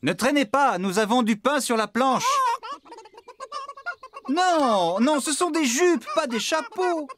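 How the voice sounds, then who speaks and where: A man voicing an excitable, good-natured, bossy farmhand in a French children's cartoon. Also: very high-pitched, takes it slowly, pauses often, noisy background, full character voice. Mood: exasperated. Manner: exclamatory, bossy, enthusiastic.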